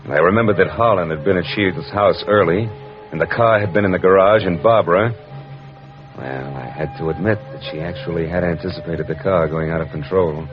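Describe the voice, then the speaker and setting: An American actor playing a tough, wry private detective in hard-boiled 1940s radio style, clipped and world-weary. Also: male voice, sits low, a little fast, close to an everyday voice. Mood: wry, brooding. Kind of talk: 1940s radio acting, hard-boiled first-person narration. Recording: dull vintage radio recording with music.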